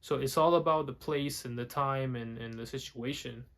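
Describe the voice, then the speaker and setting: A young man talks straight to the camera in a loose, rambling way. He stumbles, repeats words and restarts his sentences as he goes. English is not his first language, and his pitch sits in a middle range. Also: normal speed. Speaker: vlogger. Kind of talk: casual talk to camera.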